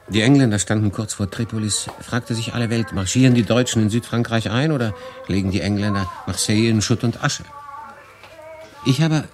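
Guy acting melancholy young refugee telling his story, intense, lowish voice, music behind, radio play. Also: natural voice. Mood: haunted, longing. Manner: intense, swinging between hushed and emphatic. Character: weary, brooding young German refugee narrator, passionate, melancholy. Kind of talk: dramatic first-person narration in radio drama.

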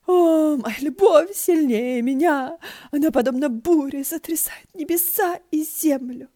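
A woman's voice: sits high, measured pace, dark tone, pitched above the performer's own voice. A woman voicing a puppet-theatre player who wails overwrought declarations as a lovesick goddess in a storybook reading. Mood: melodramatic passion.

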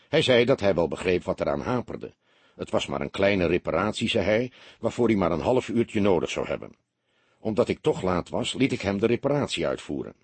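Polite male businessman witness a man acts him out, explanatory, animated intonation, faintly rough tone, detective audiobook. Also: low-pitched, dry recording, heavily acted. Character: earnest, round-faced businessman giving his account.

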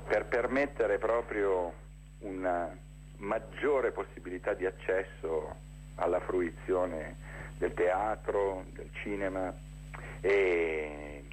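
A low-pitched man gives an explanatory radio interview. He speaks spontaneously, pausing inside phrases and repeating words for emphasis. The line carries faint noise. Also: rough recording. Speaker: interview guest, theatre director presenting a festival. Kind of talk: spontaneous informational interview talk.

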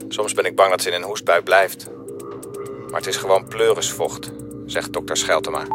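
A man's voice: sits low, steady tone, normal speed, lightly acted. A man playing a blunt, bitter husband of a dying wife, narrating in clipped, crude sentences in a confessional radio drama. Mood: bleak. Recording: music behind the voice.

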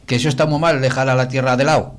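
A man's voice: medium pitch, steady tone, very fast. A man hosting a local radio show, casual and joking with his guest, speaking in short interjections. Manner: friendly, joking, brief interjections. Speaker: radio host.

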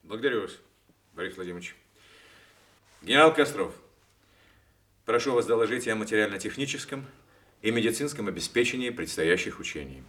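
Dignified army commander in a soviet radio drama, low voice, formal, courteous, measured orders. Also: male voice, animated, a bit bright. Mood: courteous, businesslike. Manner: formal, authoritative, deliberate. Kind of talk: Soviet radio play acting.

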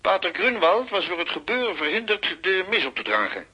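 Police commissioner for radio play: higher male voice, piercingly bright, formal, deferential. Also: sing-song, brisk, slightly rough, dull audio, heavily acted. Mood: formal, concerned. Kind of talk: radio drama acting.

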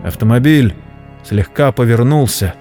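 A man narrating a scripted story dramatically, in a solemn, measured literary manner. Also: medium pitch, dark tone. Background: background music.

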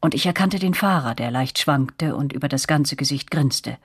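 An actress playing a first-person storyteller, narrating tense events in a German radio drama. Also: female voice, rumbling low, normal speed, husky. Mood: recounting. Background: music.

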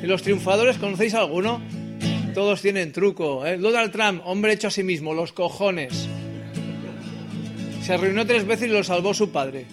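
A man with a notably high voice and a Spain Spanish accent talks over clear acoustic guitar. He rambles through self-deprecating comic banter like a singer-songwriter between songs, tossing out little tag questions as he goes. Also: normal speed. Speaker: singer-songwriter and comedian performing live. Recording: live performance with acoustic guitar.

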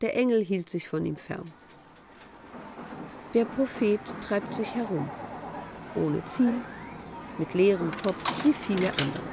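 An actress delivering detached scripted narration in a radio play. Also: female voice, medium pitch, lively intonation, slow pace, pauses often, very noisy. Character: detached female narrator telling of a prophet.

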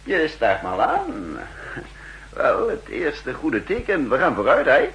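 An actor playing a breezy, teasing middle-aged intelligence boss in a 1960s radio drama, bantering with hesitations and tag questions. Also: male voice, medium pitch, wildly swooping pitch, slow, a bit bright, lo-fi sound, slight background noise, heavily acted. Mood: jaunty, flirtatious.

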